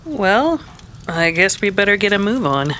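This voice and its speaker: A woman playing a steady, practical frontier woman, firm and matter-of-fact, in a western radio drama. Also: mid-range voice, measured pace, a little hiss or hum. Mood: calm, practical.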